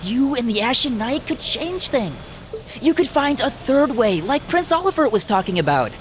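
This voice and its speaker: Gentle high-voiced young student. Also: female voice, big pitch swings, moderate pace. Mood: hopeful, pleading. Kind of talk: English dub video game cutscene acting. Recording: faint background noise.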